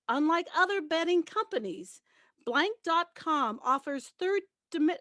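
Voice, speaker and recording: High-voiced woman reading aloud, dry sound. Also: fairly bright tone, no echo. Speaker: panelist, radio and TV host. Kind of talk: reading a press release aloud as a dramatic reading.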